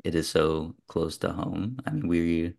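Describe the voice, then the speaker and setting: Deep, gravelly male podcast host. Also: expressive, unhurried, darkish tone. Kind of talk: casual film discussion among friends.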